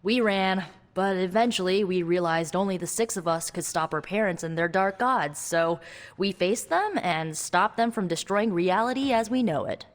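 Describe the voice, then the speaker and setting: A woman's voice: medium pitch, lightly acted. A woman playing a young spellcaster hero in a video game, wry and guarded, talking in a conversational, hesitant way. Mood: wry storytelling. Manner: wry, hesitant, halting asides. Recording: long reverb, music underneath.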